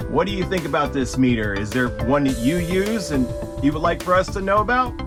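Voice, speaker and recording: A man's voice: on the high side, animated, brisk, music in the background. A man narrating a casual unboxing and review as the video's host, explaining things in a plain-spoken, instructional way.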